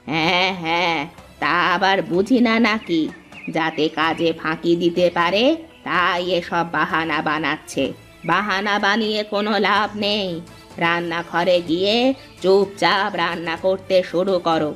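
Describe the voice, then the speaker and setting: A domineering old mother-in-law from a cartoon family drama. Her voice is on the low side for a woman, blunt and imperious, with music playing behind it. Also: steady tone, a little slow, a bit bright. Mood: scornful. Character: bossy old mother-in-law, biased and scolding.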